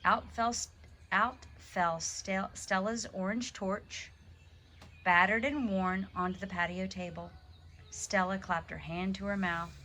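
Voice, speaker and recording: Female storybook reader, bright and animated, slight noise on the recording. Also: medium pitch, unhurried. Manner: animated, dramatic emphasis on key words. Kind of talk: expressive children's storybook read-aloud.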